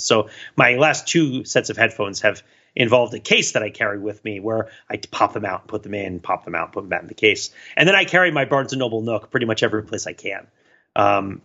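Bright, animated man on slightly dull audio. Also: on the low side, vocal fry. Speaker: podcast co-host. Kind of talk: casual rambling chat.

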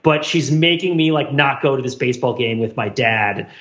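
A man's voice: medium pitch, normal speed, a bit bright, echoey room, highs cut off. A man talking casually and jokily on a podcast, rambling along and often breaking into amusement as a co-host. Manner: rambling, jokey, frequent laughing. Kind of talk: casual comedic podcast discussion.

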